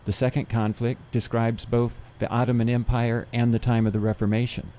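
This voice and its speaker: A man who gives seminars, guesting on a radio interview, explaining things in a steady, methodical way. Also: medium pitch, fairly quick, slight background noise. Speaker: seminar speaker.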